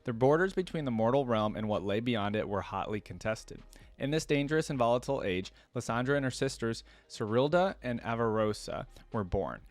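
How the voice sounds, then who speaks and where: A man's voice: medium pitch, expressive, a little fast, no echo. A man hosting a gaming lore reaction series, switching between reading aloud and informal commentary as he thinks aloud. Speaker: host of a video game lore reaction series.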